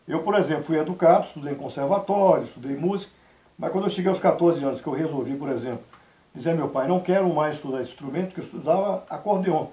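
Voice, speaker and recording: Mellow-voiced man in an echoey studio. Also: fairly high pitch. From Brazil (Salvador, Bahia). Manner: storytelling, measured, quotes others in lively asides. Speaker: interview guest. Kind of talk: relaxed TV interview talk.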